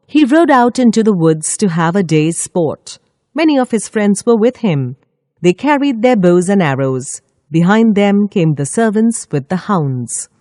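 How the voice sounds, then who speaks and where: A woman storyteller reading a scripted children's story with expressive, deliberate phrasing. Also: medium pitch, dry recording, studio quality.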